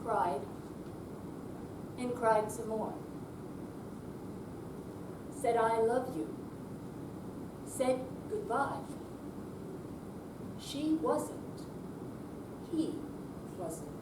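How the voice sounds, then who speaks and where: A woman performing a readers theater piece, delivering short narrative phrases in a measured way with pauses. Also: fairly high pitch, expressive. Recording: roomy hall, distant mic, background noise.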